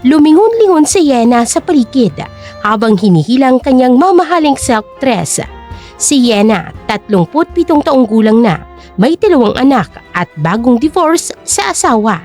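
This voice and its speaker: A woman's voice: sits high, very animated, moderate pace, darkish tone, faint music behind. A woman narrating a scripted story in a dramatic storytelling style.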